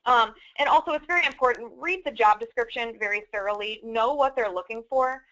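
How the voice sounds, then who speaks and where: A woman with a fairly high, bright and crisp-edged voice explains career advice methodically, in the conversational style of a webinar presenter. The recording is a little dull and band-limited. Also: a little fast.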